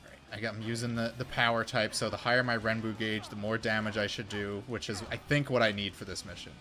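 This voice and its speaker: A young man rambling through casual gameplay commentary as a game streamer, swearing when frustrated, his voice going hoarse. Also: fairly low pitch, level tone, quick pace, sharp tone, soft background music. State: losing his voice.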